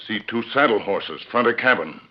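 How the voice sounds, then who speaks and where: An actor playing a loyal, watchful Native scout in a 1940s radio western, speaking clipped broken English with grunted replies. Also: male voice, lowish voice, animated, normal speed, lightly acted. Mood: watchful, steady. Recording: noisy, muffled 1940s radio recording.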